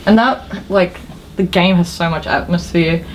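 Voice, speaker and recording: A woman's voice: lowish voice, unhurried, slight background noise, low-quality recording. A woman co-hosting a gaming show in casual chat, with an informal, slangy way of talking. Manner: informal, slangy, mildly sweary. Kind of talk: casual chat about games and films.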